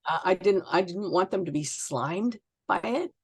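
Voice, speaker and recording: A woman with a low voice and a gravelly creak speaks as a guest author in an interview. She is reflective and halting, pausing to find her words in a close, dry recording. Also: animated, dry recording. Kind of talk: conversational interview answers.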